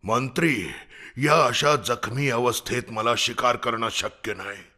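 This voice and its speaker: A man voicing a hungry, injured lion king in a children's animal story, gravelly and regal, giving commands. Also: medium pitch, slow, bright, forceful tone. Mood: weary, commanding.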